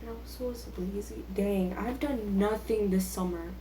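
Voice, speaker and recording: Teen female vlogger, soft-edged relaxed tone, self-deprecating off-the-cuff chatter, recorded in a reverberant room. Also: medium pitch, moderate pace. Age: teenager. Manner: self-deprecating, filler-heavy youthful talk. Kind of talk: casual rambling chat. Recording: echoey room with faint background noise.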